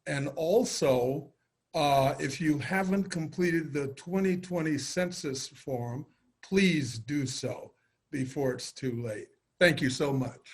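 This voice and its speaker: A man's voice: a little high, takes it slowly, husky. A man, a stage actor, giving informal closing remarks to an audience.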